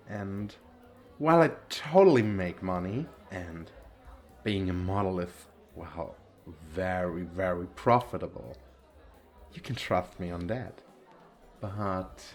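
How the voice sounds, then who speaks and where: Man playing a slow, vain male model. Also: lowish voice, heavily acted. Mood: haughty, disdainful. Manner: boastful, theatrical, coquettish, with drawn-out pauses. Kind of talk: flirtatious ASMR roleplay monologue.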